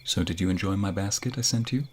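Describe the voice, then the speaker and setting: A man's voice: deep voice, fast talker, slight background noise, close to an everyday voice. A man playing an affectionate, flirtatious fantasy king in an intimate ASMR roleplay, murmuring tenderly and often slipping into whispers to a secret lover. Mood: warm, playful.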